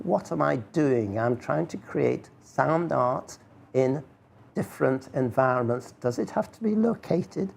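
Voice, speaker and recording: A man gives an informal public talk in a mid-pitched voice with a lot of gravelly creak. He is wry and rhetorical, thinking aloud as he explains things to his listeners. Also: a little mellow, a little hiss or hum. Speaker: speaker giving a talk. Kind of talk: informal talk with audience questions.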